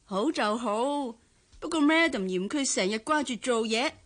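A woman's voice: on the high side, expressive, unhurried. A woman playing an obliging, chatty household maid in a radio drama. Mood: gossipy.

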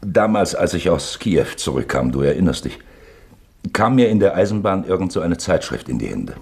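Husky, low-voiced brooding old uncle. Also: male voice, sing-song, normal speed, a little mellow, heavily acted. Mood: musing. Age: older. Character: aging, brooding uncle turning cranky and mystical. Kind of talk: 1960s German radio drama acting.

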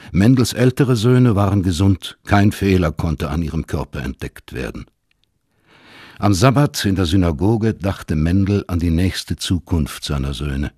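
A man reads literary narration for a radio play. His voice is low and husky, recorded in a dry studio without room sound. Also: darkish tone, no echo.

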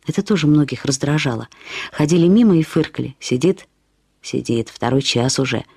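A woman reading literary prose as a radio storyteller, in an expressive, reflective, measured way. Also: rumbling low, vocal fry, breathy edge, no echo. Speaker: narrator of a short story. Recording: studio radio production with music. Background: music.

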